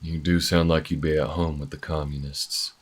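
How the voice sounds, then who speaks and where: A man playing a calm, skeptical young bounty hunter in a sci-fi audio drama, with a cool, measured and dry delivery. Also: a bass voice, slightly rough. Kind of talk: audio roleplay drama acting.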